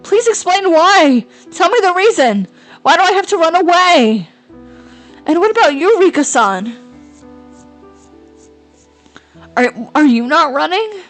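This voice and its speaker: A woman's voice: fairly high pitch, expressive, moderate pace, faint music behind, voice pushed up, close to an everyday voice. A woman voicing a kind, friendly schoolgirl who stammers when she is flustered. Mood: frantic. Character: cheerful kind schoolgirl, rattled and confused.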